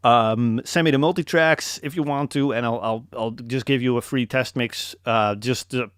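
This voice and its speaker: A man's voice: medium pitch, moderate pace, fairly bright tone, clear, resonant tone, no room sound, clean studio sound. A young man from the Netherlands with a Dutch accent, hosting a casual live coaching call and hammering home his points with repetition. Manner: emphatic, repeats key points, calls listeners man.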